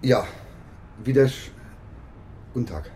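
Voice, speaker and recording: A man's voice: medium pitch, expressive, slow pace, dark tone, a little hiss or hum. An actor playing an awkward, eager young male trainee, hesitant, chatty and over-polite, in a naturalistic radio crime drama. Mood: uneasy, guarded.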